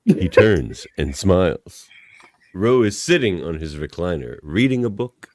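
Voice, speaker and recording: A man's voice: sits low, big pitch swings, a little slow, darkish tone. A man narrating a radio play script in a playful, tongue-in-cheek way. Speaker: podcast narrator.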